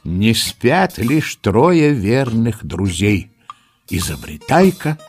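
A man playing the storyteller of a children's audio play, giving expressive, dramatic scripted narration. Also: on the low side, slow pace, soft background music. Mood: storytelling.

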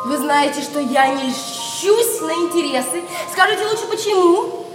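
An actress playing a pert, sensible young maidservant in a verse radio play, saucy and teasing. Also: female voice, very high voice, lively intonation, normal speed, over loud music. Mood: saucy, dismissive. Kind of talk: classic Russian stage verse, radio play acting. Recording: roomy distant radio play, faint noise.

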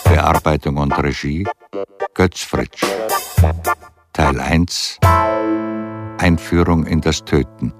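Man, radio drama announcer, rock-bottom pitch, very slow scripted credits, loud music underneath. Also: steady tone. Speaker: announcer reading the title and credits.

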